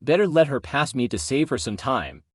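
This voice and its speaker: Male narrator as weary, persistent, kindly shopper in story dialogue, earnest, animated intonation. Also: medium pitch, moderate pace, no echo, studio quality. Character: tired, well-meaning, over-insistent shopper.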